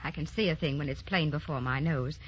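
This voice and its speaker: An actress playing a prim, envious middle-aged sister, blunt and sharp-tongued, in an old radio drama. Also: female voice, low voice, fairly quick, slight background noise, close to an everyday voice. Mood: accusing, tart. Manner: tart, blunt, disapproving. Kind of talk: 1940s radio drama acting. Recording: dull vintage radio recording.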